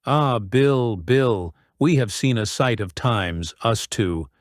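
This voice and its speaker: A man voicing a fawning, sneering middle-aged pirate with a slow drawl, on a close, dry mic. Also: mid-range voice, lively intonation, no echo. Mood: sly. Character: wheedling menacing middle-aged male pirate.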